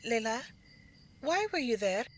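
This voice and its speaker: Eager, curious younger sister in an audio drama, high girlish pitch, performed by a woman. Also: very animated, measured pace.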